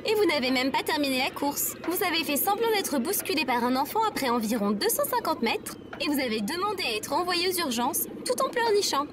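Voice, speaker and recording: A woman playing a prim, sardonic female AI companion in an audio comedy, speaking formally and precisely in a deadpan way. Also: high voice, fairly quick, few pauses, background noise. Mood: deadpan teasing. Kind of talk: scripted audio comedy drama.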